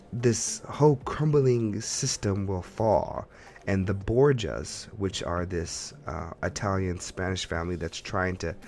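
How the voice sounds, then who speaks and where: Male podcast host, lower voice, animated and rambling, conversational, music underneath. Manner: animated, rambling storyteller who acts out imagined reactions. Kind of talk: casual podcast chat.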